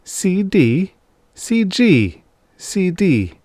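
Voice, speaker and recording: A flustered male detective, acted out by a man reading an audiobook, anxious and protesting. The voice is pushed higher and stammers through its lines. The sound is dry, with little room around it. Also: sing-song, very slow, dark tone, no room sound, full character voice. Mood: bewildered. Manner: stammering, sputtering. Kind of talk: audiobook character dialogue.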